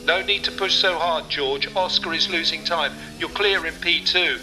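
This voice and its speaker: Casual: guy voicing a race engineer on team radio, calm and matter-of-fact, a bit higher voice, loud music behind. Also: moderate pace, close to an everyday voice, low-quality recording. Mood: steady. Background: dramatic music.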